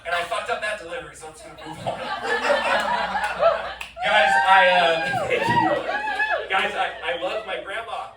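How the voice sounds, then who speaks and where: A man's voice: high voice, huge pitch swings, unhurried, pauses often, over a noisy crowd, heavy background noise. A young man performing a rambling, self-deprecating stand-up comedy set, full of fillers and restarts, in front of a live audience. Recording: live comedy club, roomy and distant.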